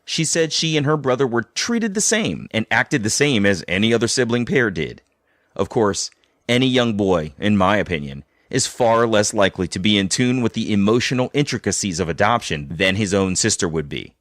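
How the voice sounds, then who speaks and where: Guy hosting a podcast, pretty low voice, dry close sound. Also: fairly bright tone, no echo. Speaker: podcast host. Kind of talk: scripted narration and conversational interviewing.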